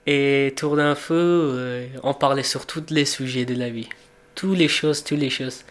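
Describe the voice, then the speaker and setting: A man in his twenties from Afghanistan, a cook, telling his life story in a reflective, unhurried way, pausing and restarting as he searches for words. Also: mid-range voice, steady tone. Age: 20s. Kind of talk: personal storytelling for a documentary portrait.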